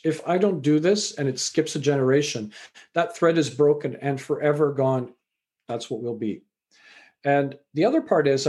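Man, middle-aged, from Canada, higher-pitched voice, reflective, storytelling, fillers. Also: darkish tone. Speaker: author and university lecturer. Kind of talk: conversational interview answers.

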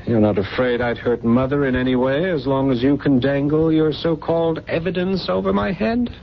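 An actor playing a suave, sardonic gentleman with a taunting manner in a radio mystery drama. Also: mid-range voice, normal speed, vocal fry, natural voice. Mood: sardonic, wary. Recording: muffled, noisy vintage radio broadcast.

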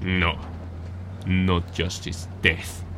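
A man playing a zealous, smooth-talking rebel leader with a grandiose, insistent delivery in an audio drama. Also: deep voice, monotone, drawn-out words, bright, forceful tone, slight background noise, exaggerated voice. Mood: knowing, insistent.

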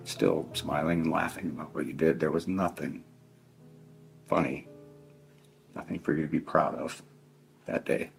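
A middle-aged man gives a victim impact statement as a bereaved father, speaking in a deliberate, stern, emphatic way with heavy pauses. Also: rumbling low, level tone, gravelly, soft background music. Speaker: bereaved father addressing his child's killer. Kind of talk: victim impact statement addressed to one person.

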